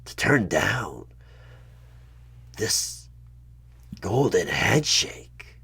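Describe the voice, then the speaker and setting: Man playing a whispering, very deep, sly demon. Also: big pitch swings, creaky, bright, forceful tone, husky, exaggerated voice. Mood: sly, defensive, persuasive. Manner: slow, drawn-out, insinuating, persuasive. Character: sly evil male demon recruiter, coaxing and tempting. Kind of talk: horror ASMR one-sided audio roleplay.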